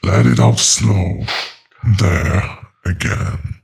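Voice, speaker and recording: A man playing an ancient, patient demonic entity in a horror audio drama, speaking with calm, mocking, deliberate menace. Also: low voice, gravelly, full character voice.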